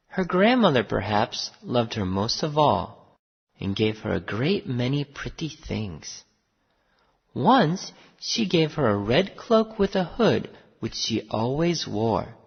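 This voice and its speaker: Expressive, husky fairy-tale storyteller. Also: medium pitch. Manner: deliberate, expressive storybook reading. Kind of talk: scripted fairy-tale narration for English learners. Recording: muffled audio with long reverb.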